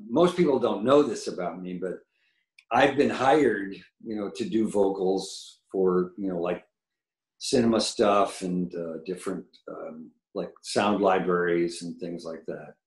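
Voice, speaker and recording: An older man with a low baritone voice, chatting as a guest musician over a video call, rambling and enthusiastic with many fillers. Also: reverberant. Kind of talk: casual interview chat. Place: home room with painted mural, video call. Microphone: video call webcam.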